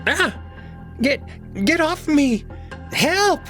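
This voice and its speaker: A man's voice: very high-pitched, lively intonation, drawn-out words, sharp tone, faint music behind, pitched above the performer's own voice, heavily acted. A man voicing a small timid male cat in a visual novel, stammering guiltily and pleading. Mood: panicked. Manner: stuttering, breathless pleading.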